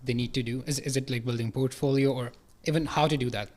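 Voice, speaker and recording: A man's voice: mid-range voice, steady tone, fast, a little mellow. A man hosting a conversational interview, agreeing and encouraging as he asks questions. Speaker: podcast host, interviewer.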